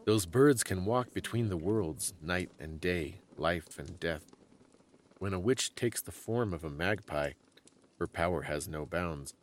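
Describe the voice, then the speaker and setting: Man as old grandmother, storybook reading, low pitch, grave, ominous, deliberate warnings. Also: animated, a little hiss or hum.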